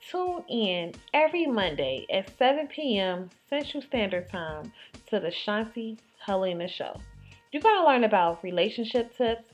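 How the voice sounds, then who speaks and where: A woman's voice: mid-range voice, dull audio. A woman announcing a show in an upbeat, hyped promo intro.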